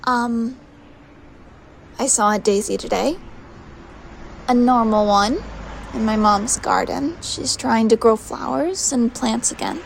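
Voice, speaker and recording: A woman's voice: fairly high pitch, slow, vocal fry, long pauses, slight background noise, rough recording. A woman acting in an audio drama as an edgy, defensive young woman who leans on pills. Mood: bleak, agitated. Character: edgy, defensive young pill-dependent woman with bleak thoughts.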